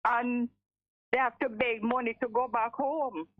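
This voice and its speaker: A woman's voice: on the high side, fairly even intonation, unhurried, no echo. Strong-voiced Jamaican woman in her seventies, phone line. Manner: emphatic, repeats phrases for force. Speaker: radio call-in listener. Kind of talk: impassioned live phone-in talk. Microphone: telephone. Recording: thin, narrow telephone line.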